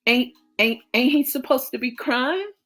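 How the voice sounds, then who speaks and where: Woman doing a young mom in labor, crying high and panicked, southern talk, bright sound. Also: lively intonation, a little slow, full character voice. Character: frantic young woman giving birth.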